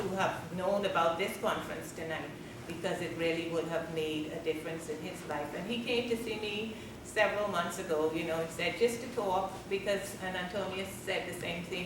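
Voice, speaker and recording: Passionate woman in an echoey hall. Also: lowish voice. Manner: earnest, passionate, conversational with many "you know"s. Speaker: art gallery owner giving a public talk. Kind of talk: informal public talk and Q&A. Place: gallery event space with an audience. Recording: echoey room, far from the mic, audible background noise.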